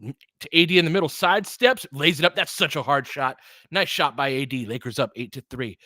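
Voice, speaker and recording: A man's voice: fairly high pitch, brisk, sharp tone, no echo. A man doing casual live basketball commentary as a livestream host, talking informally and directly to his viewers.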